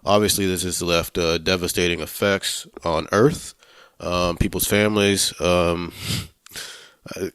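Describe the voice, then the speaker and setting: Deep-voiced man in casual podcast chat. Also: fairly even intonation, unhurried, fairly bright tone, clear, resonant tone, no echo. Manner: profane, informal. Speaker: podcast co-host. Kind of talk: casual movie review chat.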